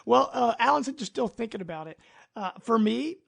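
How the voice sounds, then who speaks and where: A man's voice: very high-pitched, expressive, brisk, creaky, fairly bright tone. A man hosting a casual podcast chat in an enthusiastic, rambling, informal way. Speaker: podcast host.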